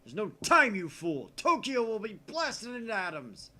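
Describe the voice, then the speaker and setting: A man voicing a grandiose, excitable young mad scientist with a pompous, declamatory delivery in a visual novel. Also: sits high, moderate pace, pitched above the performer's own voice, heavily acted. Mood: urgent, commanding.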